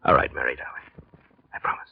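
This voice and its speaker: An actor playing an earnest, polite, somewhat hesitant struggling painter and husband in a 1940s radio drama. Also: male voice, fast, very noisy, lightly acted. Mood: tender, weary. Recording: dull lo-fi 1940s radio recording.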